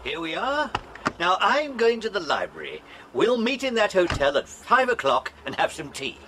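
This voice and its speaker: An actor playing an amiable, absent-minded naturalist in a children's audio drama, polite and a little distracted. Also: male voice, sits high, wildly swooping pitch, moderate pace. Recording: noisy old cassette tape.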